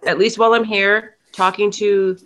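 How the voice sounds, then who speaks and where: Bright, self-mocking woman in her fifties. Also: mid-range voice, little pitch movement, normal speed, a little hiss or hum, poor audio. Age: 50s. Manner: self-deprecating, swears. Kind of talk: casual chat.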